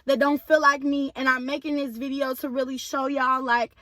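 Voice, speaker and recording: Woman vlogger, a bit hoarse, high bright voice, chatty and direct with viewers, dry sound. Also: level tone, moderate pace, no room sound. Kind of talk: casual chat to viewers.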